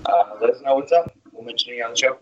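A man co-hosting a horror movie podcast in casual chat, relaxed and chuckling often, with plenty of fillers. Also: medium pitch, fast, lo-fi sound. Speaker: podcast co-host.

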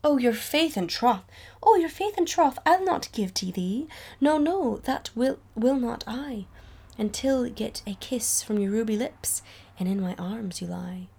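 A woman's voice: on the high side, animated, measured pace, creaky, darkish tone, breathy edge, voice pushed up, heavily acted. A woman voicing a lovesick young woman in an old ballad, with a put-on Scottish accent and lilting, pleading, archaic delivery. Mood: defiant, coy. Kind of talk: dramatic recital of an old ballad.

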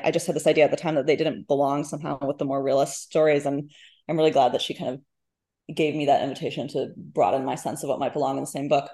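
A woman speaking as a guest author in a casual interview, thoughtful and halting, often correcting herself mid-sentence. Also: low-pitched, dark tone. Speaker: guest author, short story writer. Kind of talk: casual literary interview chat.

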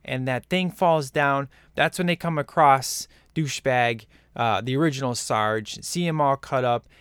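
A man's voice: mid-range voice, measured pace, a bit bright, clear, resonant tone, dry recording, studio quality. A man co-hosting a casual movie-review chat, rambling and enthusiastic with lots of fillers. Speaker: podcast co-host reviewing a film.

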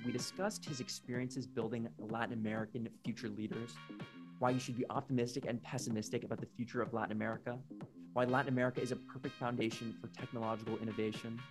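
A male podcast host reads his episode intro at a brisk clip, with guitar music playing underneath. His voice sits at a middling pitch, and the delivery stays smooth and scripted. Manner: brisk read-through, then a direct question.

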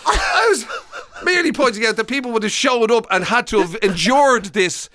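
A man's voice: very high voice, lively intonation, normal speed, pushed and bright. A middle-aged Irish man chatting and bantering casually as a comedy podcast co-host, close to the microphone.

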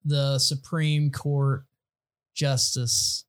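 A man's voice: medium pitch, flat delivery, drawn-out words. A man co-hosting a casual comedy podcast, joking and rambling with restarts. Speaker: podcast co-host.

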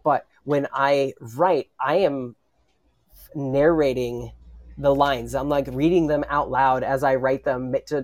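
A man's voice: mid-range voice, darkish tone, no room sound. A man talking reflectively about his creative work in a panel discussion, earnest and hesitant, often repeating words as he goes. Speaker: writer and voice actor on an audio drama.

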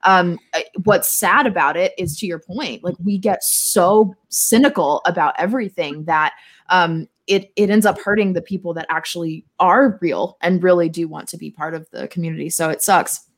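A woman's voice: on the low side, normal speed. A woman chatting casually and emphatically as a guest on a talk show, with a cynical way of talking. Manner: self-described cynic, emphatic. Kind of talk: casual chat.

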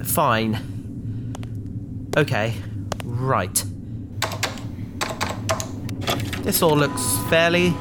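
Woman as an earnest crew member, very expressive. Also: a bass voice, drawn-out words, background noise, full character voice. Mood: nervous. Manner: emotional, hesitant, polite. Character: earnest, loyal, polite and anxious spaceship crew member. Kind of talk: sci-fi audio drama acting.

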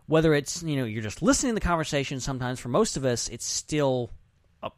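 A man's voice: mid-range voice, big pitch swings, quick pace, no room sound, clean studio sound. A man hosting a casual podcast chat, talking in an effusive, affirming way. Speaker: podcast host.

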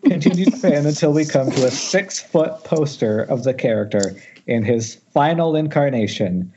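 A man's voice: fairly high pitch, lively intonation, normal speed, dark tone, lo-fi sound, slight background noise. A man informally reading stage directions at a script table read over an internet call, with wry, jokey ad-libs.

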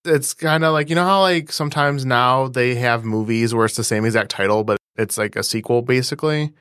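A woman's voice: very deep voice, a little fast, fairly bright tone, smooth, no echo, studio quality. A woman co-hosting a podcast, chatting casually about films in a jokey, slangy way. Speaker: podcast co-host. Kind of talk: casual joking film chat.

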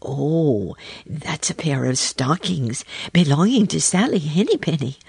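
A woman's voice: low voice, expressive, unhurried, creaky, darkish tone, slightly rough, dry recording, heavily acted. A woman voicing a stout, polite, fussy little hedgehog washerwoman in a children's storybook, chatty and deferential. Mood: fussy, eager.

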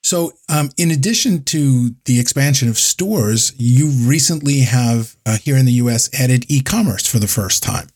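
A man's voice: medium pitch, lively intonation, moderate pace, few pauses, a little mellow, breathy edge. A man hosting a podcast, introducing his guest and asking questions in an easygoing way. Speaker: podcast host.

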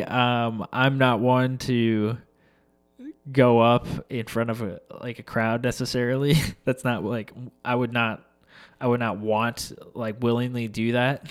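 A young man tells a loose, rambling story, full of fillers, restarts and casual swearing. His voice sits at an ordinary middle pitch, with a slight congested, stuffy sound from seasonal allergies. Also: smooth.

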